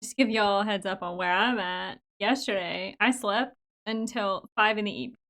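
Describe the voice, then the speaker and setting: An American woman co-hosting a podcast, chatting casually and expressively and quick to laugh. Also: mid-range voice, fairly bright tone. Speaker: podcast co-host. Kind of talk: casual chat.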